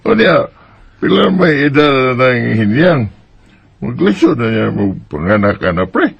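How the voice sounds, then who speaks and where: Very animated in pitch yet slow in pace, this is a man playing a teasing, skeptical Bisaya buddy in a radio drama. He pokes and questions, and the sound is dry and a little dull. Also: creaky, husky, no echo, full character voice. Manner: prodding, skeptical questions with interjections. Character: teasing, nosy Bisaya male drinking buddy.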